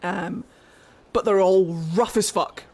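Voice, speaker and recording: A woman's voice: mid-range voice, steady tone, takes it slowly, a little mellow, a little hiss or hum. Laughing, swearing woman from Glasgow. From Glasgow, Scotland. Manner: swearing, laughing often, rambling. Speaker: game streamer. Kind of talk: casual livestream chat while gaming.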